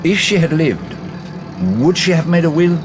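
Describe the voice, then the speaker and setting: An actor playing a shrewd, courteous detective with a French-speaking European accent and formal, deliberate, probing phrasing in a radio drama. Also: male voice, fairly high pitch, fairly even intonation, noisy background, lightly acted. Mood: probing, insistent. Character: shrewd, courteous foreign male private detective, probing and precise.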